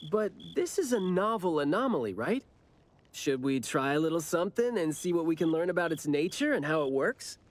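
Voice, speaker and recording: A lightly high male voice with swooping intonation, a brash and irreverent investigator in a video game. His delivery is teasing, offhand and wry. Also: big pitch swings, brisk, no room sound. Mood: eager curiosity.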